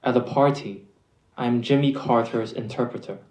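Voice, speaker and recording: A young man from Hong Kong reading his own poem aloud in a measured, deliberate way. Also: mid-range voice, a little mellow, echoey room. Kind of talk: slow poetry reading.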